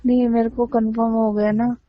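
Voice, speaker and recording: A woman's voice: on the high side, little pitch movement, moderate pace, a little hiss or hum. A woman chatting casually and intimately on a phone call, in a teasing, mildly complaining manner. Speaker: romantic partner on a phone call. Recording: dull lo-fi phone call audio.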